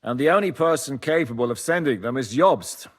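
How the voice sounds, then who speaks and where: A man playing a grave medieval commander in a game, speaking with measured, formal authority. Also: medium pitch, lively intonation, husky. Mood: grave, resolute. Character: grave medieval commander planning a desperate mission. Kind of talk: dramatic game cutscene acting.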